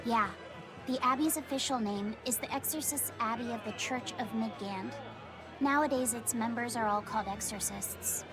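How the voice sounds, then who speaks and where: A woman's voice: a little high, normal speed, close to an everyday voice. A woman voicing an earnest, polite young boy in a video game, studious and precise. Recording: music behind the voice.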